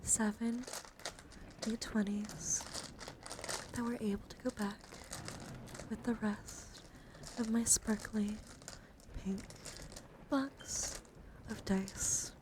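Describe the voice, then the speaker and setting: A woman streams ASMR in a gentle mix of whispers and soft talk. She is chatty and encouraging, with an ordinary mid-range pitch. There is noticeable background noise in the recording. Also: flat delivery, slow pace, long pauses. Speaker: livestream host. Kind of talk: whispered ASMR, showing and reading out trading cards. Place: indoor livestream, hands-on camera.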